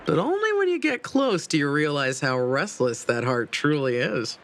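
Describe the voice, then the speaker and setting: Smooth-talking game charmer, lightly high pitch, lively melody, theatrical, teasing. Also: male voice, moderate pace, a little hiss or hum. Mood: knowing, concerned. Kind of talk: scripted video game dialogue.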